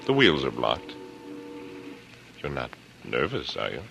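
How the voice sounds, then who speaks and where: Cold, condescending killer, American, 1940s radio thriller. Rock-bottom pitch with lively, swooping inflection; taunting and calculating; faintly noisy vintage recording. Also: male voice, slow pace, vocal fry, faint music behind, full character voice. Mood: sly, casual. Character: coolly menacing older killer, smug, patronizing and calculating. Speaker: radio actor. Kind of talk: 1940s radio drama acting.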